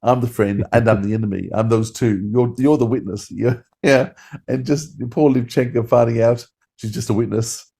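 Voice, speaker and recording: Man chatting enthusiastically with mellow voice. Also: mid-range voice, steady tone, moderate pace, breathy edge. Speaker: podcast co-host. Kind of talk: casual review chat.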